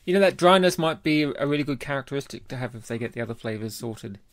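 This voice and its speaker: A man's voice: mid-range voice, animated, fast. A man co-hosting a podcast, chatting casually as he gives his opinion in a review. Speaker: podcast co-host. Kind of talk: casual chat, beer review.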